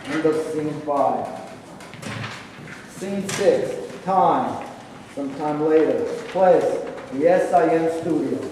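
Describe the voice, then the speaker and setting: Distant, with long hall reverb and clear noise, a man narrates stage directions for a play reading. His fairly high voice moves slowly.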